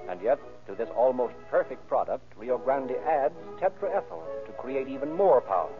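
A man's voice: medium pitch, animated, moderate pace, over music. A man announcing and narrating in formal, theatrical, emphatic phrasing, in 1930s radio style. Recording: tinny old radio recording.

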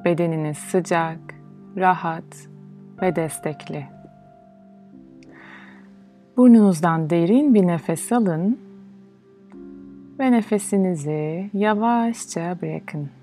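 A woman with a fairly low voice leads a guided relaxation meditation. She speaks in a soothing, measured manner, pausing between phrases, with music clearly behind her. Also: warm tone. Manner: slow, soothing, measured delivery with pauses. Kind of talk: guided yoga nidra meditation, instructional.

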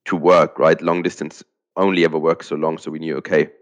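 A man's voice: rumbling low, flat delivery, fairly quick. A man in his thirties with an Austrian accent, chatting casually as an interview guest. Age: 30s. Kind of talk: casual chat.

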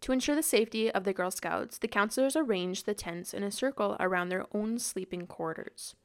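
A woman's voice: medium pitch, brisk. A woman hosting a true-crime podcast, reading a prepared script about the case and slipping into casual "like" fillers when she chats. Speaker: podcast host and presenter.

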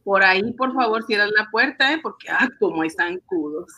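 A woman's voice: medium pitch, expressive, moderate pace, bright, forceful tone, no room sound. A woman playing an affectionate, fussing mother of a small boy in an amateur radio drama. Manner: affectionate, cautioning.

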